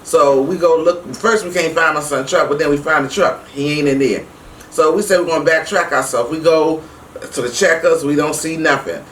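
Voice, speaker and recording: A middle-aged woman telling a personal story casually to her viewers, emphatic and animated, acting out the dialogue as she goes. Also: low voice, normal speed. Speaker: vlog host. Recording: roomy, distant mic with faint noise.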